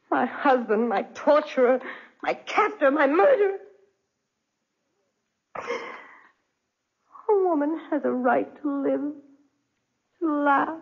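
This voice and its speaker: An actress playing a lonely, tormented young French wife in a theatrical 1940s radio drama, with deliberate phrasing. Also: female voice, medium pitch, husky. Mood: anguished. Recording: dull, restored 1940s radio recording.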